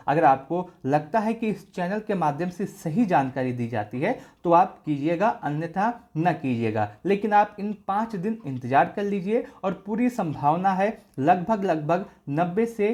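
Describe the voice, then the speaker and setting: A man's voice: sits high, animated, moderate pace, hardly any pauses, darkish tone. A man hosting an informational explainer video for exam candidates, going through things step by step and often repeating himself. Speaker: exam update video host.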